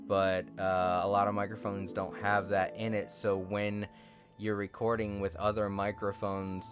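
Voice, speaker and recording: Man, low voice, sleepy, rambling livestream chat, game music behind. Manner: rambling, halting with many fillers, self-deprecating. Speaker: streamer. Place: home room with a TV. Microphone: cardioid desk microphone.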